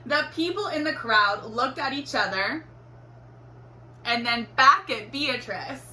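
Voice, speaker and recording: A woman reading a children's storybook aloud in an expressive, deliberate teacherly way. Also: high-pitched. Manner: animated, deliberate storytelling with acted exclamations. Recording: roomy echo, faint background noise.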